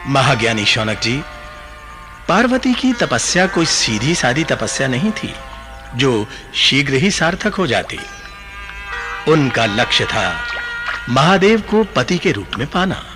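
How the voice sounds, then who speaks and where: Patient learned sage telling myths, unhurried, lilting up and down, music clearly behind. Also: male voice, medium pitch, sing-song. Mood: reverent narrating. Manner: formal, measured, instructive. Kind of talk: Hindi radio play storytelling.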